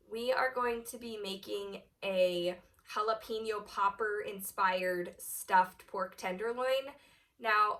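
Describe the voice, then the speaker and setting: A woman with a slight cold and a hoarse voice, chatting through a home cooking tutorial step by step in her kitchen. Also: medium pitch, fairly bright tone. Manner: chatty, explains steps plainly. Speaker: cooking channel host. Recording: voice a little far from the mic.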